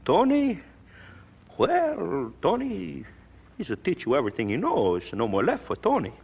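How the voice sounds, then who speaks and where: An actor playing an elderly Italian immigrant singing teacher who speaks broken English with an Italian accent, tender and proud. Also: male voice, on the high side, wildly swooping pitch, a little slow, vocal fry, husky, full character voice. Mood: resigned. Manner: broken English, emotional, sighing. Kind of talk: 1940s film acting. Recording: dull old film soundtrack.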